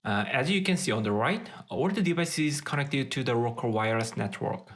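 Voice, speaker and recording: A man presents a technical tutorial in a mid-pitched voice with a noticeable fry. He explains things methodically, moving step by step like an instructor walking through a project. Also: lively intonation, brisk.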